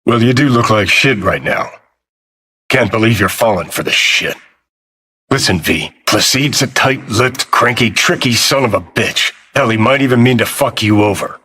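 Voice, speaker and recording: A man voicing a cynical, abrasive rebel in a video game, with a gritty rasp and a sardonic, commanding way of talking. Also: mid-range voice, normal speed, pushed and bright. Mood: sardonic, wary. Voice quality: raspy, gritty.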